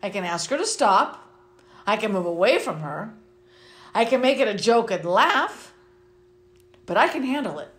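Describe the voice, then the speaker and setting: A middle-aged woman reading a children's picture book aloud to students in an expressive way. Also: medium pitch, moderate pace, pauses often. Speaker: school vice principal reading to students. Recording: roomy, echoing sound.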